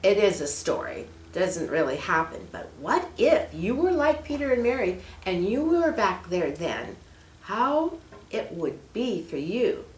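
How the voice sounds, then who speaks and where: A woman reading a children's story aloud in an expressive, animated storytelling style, addressing young listeners directly. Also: medium pitch, unhurried, slight background noise. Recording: roomy, echoing room.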